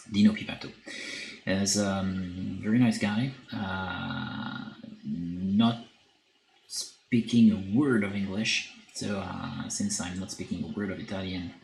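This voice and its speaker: A man with a French accent chatting on a live stream while he paints, reading chat and pausing often with fillers. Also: deep voice, gravelly. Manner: hesitant, many filler pauses, reads chat aloud. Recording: echoey room with faint background noise.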